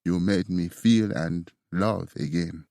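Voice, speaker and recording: A man voicing a remorseful, protective young male assassin with an Italian accent, speaking low and earnestly. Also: fairly even intonation, slow pace, vocal fry, warm tone, no echo, clean studio sound, full character voice.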